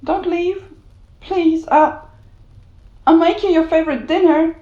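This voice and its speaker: An unstable, desperate mother in a cinematic audio drama. Her high voice pleads slowly and haltingly, close to tears, in a muffled recording. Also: fairly even intonation, mellow, roomy sound. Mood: anxious pleading.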